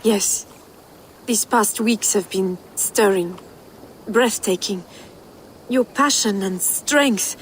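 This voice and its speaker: A woman's voice: mid-range voice, takes it slowly, long pauses, a little hiss or hum, close to an everyday voice, poor audio. An actress as a passionate French noblewoman. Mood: yearning, seductive. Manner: romantic, poetic. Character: passionate, restless Frankish noblewoman yearning for a new life. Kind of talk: video game cutscene acting.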